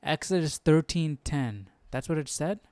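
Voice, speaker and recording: Clear, dry-miked man thinking aloud. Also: on the high side, animated, unhurried, a little mellow, no echo. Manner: inquisitive, informal, talks to himself. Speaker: Bible study livestream host. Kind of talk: casual livestream study, thinking aloud and reading articles aloud.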